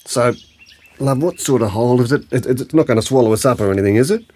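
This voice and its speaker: A man's voice: mid-range voice, quick pace, a little mellow, slightly rough, natural voice. An actor playing a worried, gullible older grandfather in a comic audio drama, earnest, blunt and a little hesitant.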